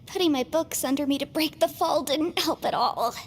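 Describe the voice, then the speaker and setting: An actress plays a bookish, anxious young woman in a video game. Her voice is high and polite, with a fretful edge. Music plays behind her. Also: moderate pace, a little hiss or hum, lightly acted. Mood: rueful. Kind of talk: anime video game voice acting.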